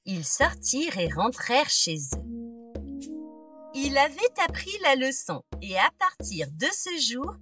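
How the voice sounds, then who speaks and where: A woman reads a scripted fairy tale with a highish, swooping voice. She is expressive and takes her time for young listeners. Music plays clearly behind her. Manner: expressive, deliberate storytelling for small children. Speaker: children's storyteller.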